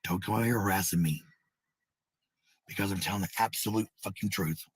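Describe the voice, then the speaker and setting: An American man with a husky, gravelly voice rambling casually to livestream viewers, blunt, sweary and given to repeating phrases. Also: deep voice, fairly quick, no echo, studio quality. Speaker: live streamer.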